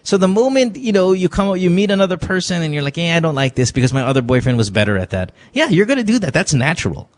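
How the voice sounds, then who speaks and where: A man's voice: on the high side, big pitch swings, quick pace, few pauses. A man hosting a call-in advice show, joking and frank, who drops Tagalog words into his talk. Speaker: radio advice show host. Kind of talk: casual call-in advice chat.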